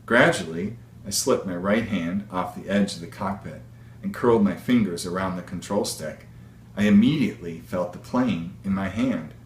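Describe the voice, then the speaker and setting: Male author-narrator, lowish voice, measured expressive book reading, strong room echo. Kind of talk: read-aloud story narration. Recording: echoey room with faint hum.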